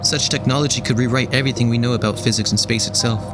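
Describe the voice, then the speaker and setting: A man's voice: medium pitch, fairly even intonation, fast, over music, close to an everyday voice. A man playing an awed, inquisitive, wordy man of science in an audio drama. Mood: amazed. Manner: earnest, wordy.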